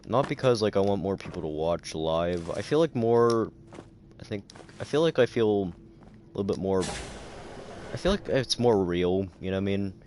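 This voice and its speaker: Tired deep-voiced male streamer. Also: lively intonation, moderate pace, a little mellow, slight background noise. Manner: rambling, filler-heavy. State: tired, dry throat. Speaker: livestreamer. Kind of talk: casual livestream chat.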